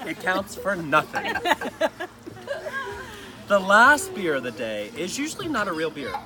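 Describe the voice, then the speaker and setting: Amused, lively reader amid loud group chatter. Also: mid-range voice, a little slow, rough recording. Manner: amused, often breaking into laughter while reading. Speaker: reader of a family letter. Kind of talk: reading a humorous letter aloud to family. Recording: loud crowd chatter, outdoor family gathering. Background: people chatting and laughing.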